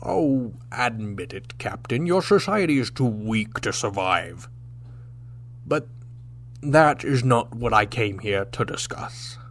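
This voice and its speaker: An actor playing a proud, stern old Klingon warrior captain in a sci-fi audio drama, formal and declamatory in manner. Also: male voice, mid-range voice, lively intonation, slow pace, fairly bright tone, full character voice.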